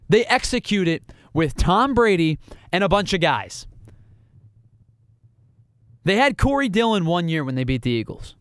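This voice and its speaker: A man with a fairly high voice hosts a sports podcast, talking through his analysis alone in an emphatic, building way. He pushes his points with rhetorical questions and repetition. The sound is close and dry, with no room around it. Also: no room sound. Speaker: podcast host. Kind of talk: solo sports analysis monologue.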